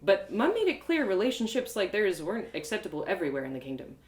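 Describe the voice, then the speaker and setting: Animated woman storyteller in a roomy space. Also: medium pitch, fast talker. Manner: theatrical. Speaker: storyteller and audio drama performer. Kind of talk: expressive first-person fantasy story narration, live reading.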